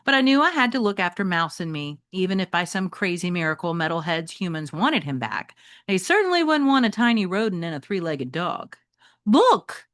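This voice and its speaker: A woman with a fairly low voice reads a children's story aloud like a teacher. Her phrasing is expressive and addressed directly to young listeners. The recording is dry, with little sense of a room. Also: a little fast, dry recording. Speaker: teacher reading aloud to students.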